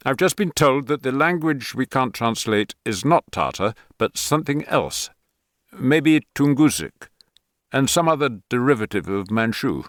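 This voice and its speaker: A man voicing a male intelligence officer giving a quick briefing. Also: medium pitch, lively intonation, fairly bright tone, no room sound, clean studio sound, pitched above the performer's own voice. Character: male French intelligence officer on a video screen.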